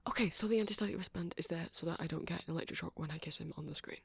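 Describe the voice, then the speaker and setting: Performer as a husky, flustered nerdy vampire. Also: mid-range voice, racing, no room sound, studio quality. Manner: rambling, bashful, gushing. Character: flustered, lovestruck nerdy vampire man selling CDs. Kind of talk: ASMR roleplay acting.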